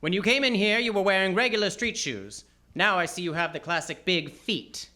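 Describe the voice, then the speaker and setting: An actor playing a wry, hardboiled private detective in an old-time radio play, with clipped noir delivery. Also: male voice, high voice, lively intonation, normal speed, sharp tone. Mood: probing. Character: wry, hardboiled male private detective, narrating his case. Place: live theatre stage.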